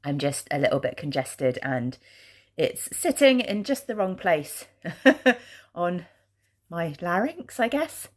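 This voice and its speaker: A woman vlogging in a chatty, rambling way, her voice husky from congestion. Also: on the low side, big pitch swings, slow. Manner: rambling, chatty, with many ums. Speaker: craft vlogger. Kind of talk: casual vlog chat. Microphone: handheld camera.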